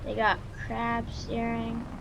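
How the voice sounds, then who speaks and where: A woman doing casual, halting roleplay commentary over a farming game, excitable and given to exclaiming. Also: fairly high pitch, creaky. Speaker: gaming video creator. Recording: noisy, with vehicle engine sounds.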